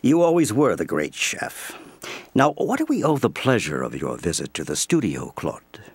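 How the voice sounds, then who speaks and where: Male narrator for audio drama: husky, animated intonation, theatrical and deliberate storyteller. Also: fairly low pitch, no echo. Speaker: narrator and presenter.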